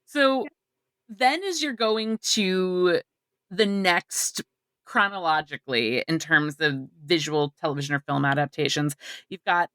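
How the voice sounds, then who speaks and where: A woman's voice: fairly low pitch, bright, forceful tone, no room sound. A woman co-hosting a podcast in casual chat with a wry, playful way of talking. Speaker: podcast co-host.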